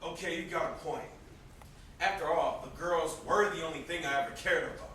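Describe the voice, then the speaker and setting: In a very echoey, noisy stage recording, a man plays a bitter young man racked with guilt. His voice is high and bright, and he declaims vehemently in amateur stage-drama style. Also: normal speed, distant mic. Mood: grudging, sardonic. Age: young adult. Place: indoor stage, recorded from a distance.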